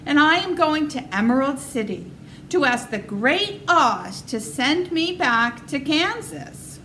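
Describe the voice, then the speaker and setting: Kind little girl for a storybook adult woman performing: polite, wondering, very lively pitch, slight background noise. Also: takes it slowly, exaggerated voice. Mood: earnest. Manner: sweet, well-mannered, wondering.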